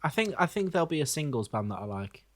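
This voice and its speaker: A man co-hosting a music podcast, giving his opinions in casual chat with wry, deadpan humour. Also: fairly high pitch, fast.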